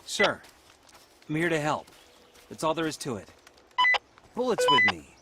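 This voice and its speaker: Earnest ex-cop over loud woodland noise. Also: male voice, a little high, wildly swooping pitch, a little slow. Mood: earnest insistence. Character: earnest, determined ex-cop with a search dog. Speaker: video game protagonist, former police officer. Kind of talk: dramatic video game voice acting. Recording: loud outdoor game ambience behind voice.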